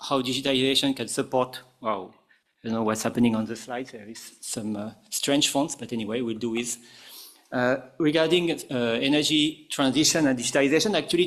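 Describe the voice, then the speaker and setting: A man with a French accent presenting at a conference panel, halting often with fillers and restarts. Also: medium pitch, a bit bright. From France. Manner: hesitant, many fillers and restarts.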